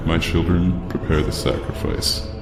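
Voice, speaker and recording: An imperious demon lord speaks low and gravelly, savoring mortal souls with grand menace. The voice rings through a long reverb in this fantasy drama. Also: male voice, steady tone, a little slow. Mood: commanding. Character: imperious, soul-hungry male demon deity lord. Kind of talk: fantasy audio drama acting. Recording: cavernous echo, long reverb. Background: loud music.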